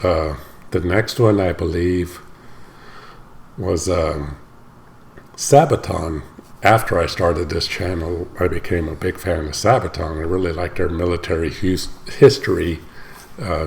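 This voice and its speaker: Deep, gravelly, slightly hoarse man. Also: slight background noise. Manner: rambling, stops often to correct himself. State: slightly hoarse, recovering from illness. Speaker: reaction channel host. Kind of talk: casual talk to camera.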